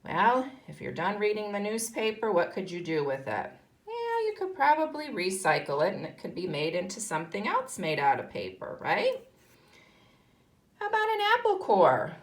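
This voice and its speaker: A woman's voice: medium pitch, huge pitch swings, moderate pace. A woman with an American accent teaching young children, instructive, posing questions and answering them herself. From United States. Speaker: kindergarten teacher. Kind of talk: read-aloud lesson for young children.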